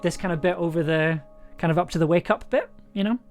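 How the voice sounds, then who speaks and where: A streamer chatting casually while working, thinking aloud in a hesitant way and trailing off, with a medium-pitched voice. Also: fast, darkish tone. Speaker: streamer, audio drama producer and composer. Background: music playing, keyboard typing.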